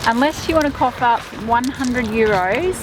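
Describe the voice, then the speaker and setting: A woman's voice: fairly high pitch, normal speed. A woman hosting a sailing vlog in casual, chatty travel talk. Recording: music and boat noise behind.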